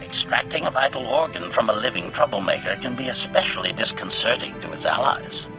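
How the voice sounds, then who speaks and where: A man's voice: medium pitch, lively intonation, brisk, music in the background, close to an everyday voice, poor audio. An actor voicing a cold, formal male assassin droid in a video game, speaking in clipped phrases that each open with a one-word label. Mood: clinical. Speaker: combat droid companion.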